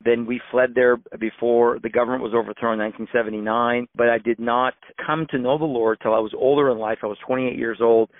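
A man's voice: medium pitch, fairly even intonation, fast, hardly any pauses, dry recording. Man in his fifties on a tinny phone. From Iran. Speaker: guest. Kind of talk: personal testimony. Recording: dry, tinny phone line.

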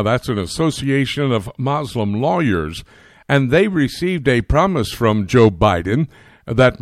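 An American man hosting a religious current-affairs radio interview, speaking in a formal, emphatic and deliberate way. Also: mid-range voice, no echo, studio quality. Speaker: radio show host.

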